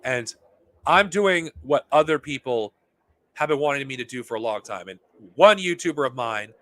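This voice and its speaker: A man hosting a podcast, talking off the cuff to his viewers in a heated, profane, rambling way, his voice getting worn and hoarse. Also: medium pitch, animated, normal speed, fairly bright tone. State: losing his voice. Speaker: podcast host. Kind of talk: unscripted monologue to viewers.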